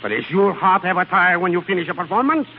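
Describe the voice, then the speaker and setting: Fussy sardonic voice teacher, swoopy highish voice, old radio, tinny and echoey. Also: male voice, expressive, fast talker. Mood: scornful, exacting. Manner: theatrical, dry, critical. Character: exacting, sardonic male European singing teacher. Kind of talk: 1930s radio acting. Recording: tinny, echoing, noisy 1930s radio recording.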